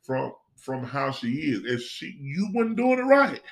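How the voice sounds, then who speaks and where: A man's voice: mid-range voice, measured pace, creaky, over a noisy crowd. An American man chatting casually as a stage actor, telling stories animatedly and repeating himself for emphasis. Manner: animated, acts out quoted dialogue, repeats phrases for emphasis. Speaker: stage play actor, panel guest. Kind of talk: casual group chat, storytelling.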